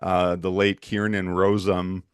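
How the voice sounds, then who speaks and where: A man's voice: low voice, flat delivery, unhurried, smooth, no echo, clean studio sound. A man hosting an interview show, asking questions in a friendly, hesitant way with frequent restarts. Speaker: talk show host. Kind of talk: conversational interview.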